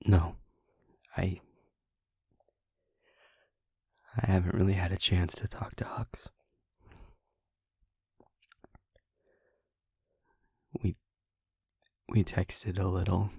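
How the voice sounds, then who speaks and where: A man acting an angry, guilt-ridden young man haltingly confiding in a friend in an intimate audio drama. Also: deep voice, little pitch movement, gravelly, darkish tone, husky, dry recording, heavily acted. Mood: weary, sad. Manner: halting, emotional confession with pauses.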